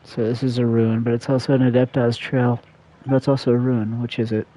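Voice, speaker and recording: A man's voice: medium pitch, flat delivery, a little fast, warm tone. A man giving casual live gameplay commentary, musing aloud to himself, on a dull lo-fi microphone. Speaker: game streamer. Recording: dull lo-fi mic, faint noise.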